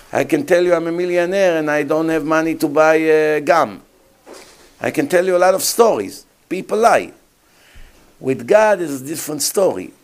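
A man's voice: a little high, darkish tone. Emphatic man preaching. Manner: emphatic, rhetorical questions to the audience. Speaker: rabbi giving a talk. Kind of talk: sermon.

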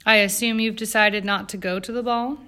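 A woman's voice: medium pitch, fairly even intonation, fairly quick, sharp tone, close to an everyday voice. A woman voicing a privileged young socialite in an audiobook, with an airy, self-assured, impatient manner. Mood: breezy, nonchalant. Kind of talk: audiobook character acting.

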